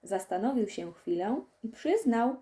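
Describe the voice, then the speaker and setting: A woman reading a children's story as an audiobook narrator, in an expressive storytelling manner. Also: a little high, a little slow.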